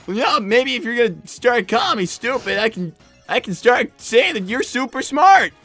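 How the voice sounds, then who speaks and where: A performer voicing an excitable young fox boy, a flustered older brother who stammers and argues on an in-character gaming livestream. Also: mid-range voice, normal speed, faint music behind. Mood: exasperated. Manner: stammering, exasperated, argumentative.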